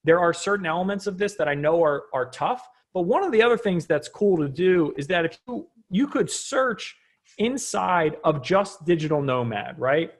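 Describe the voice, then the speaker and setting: High-voiced man giving a casual tutorial. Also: lively intonation, normal speed. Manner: conversational, frequently checking in with "right?". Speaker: online business coach presenting a tutorial. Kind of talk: casual screen-share tutorial, unscripted.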